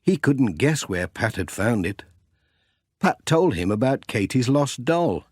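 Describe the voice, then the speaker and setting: A man narrating a children's storybook in a measured, expressive storytelling manner. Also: medium pitch, husky, dry recording, studio quality. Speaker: audiobook narrator.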